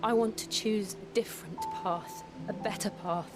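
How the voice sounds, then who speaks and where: A woman's voice: mid-range voice, fairly even intonation, normal speed, natural voice. An actress playing a solemn, resolute young woman burdened by guilt in a fantasy game, heartfelt in delivery, with music behind her. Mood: earnest, resolute. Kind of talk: scripted fantasy game drama.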